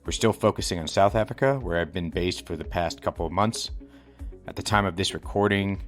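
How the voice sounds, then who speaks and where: A man hosting a podcast, reading an upbeat intro and then asking questions loosely, laughing easily. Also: low voice. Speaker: podcast host, interviewer. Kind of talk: scripted intro, then casual interviewing.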